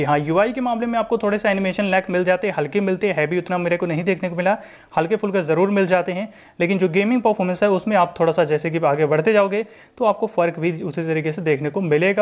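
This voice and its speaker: High-pitched, fast-talking man reviewing tech. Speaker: tech reviewer, channel host. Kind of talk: casual phone software review voice-over.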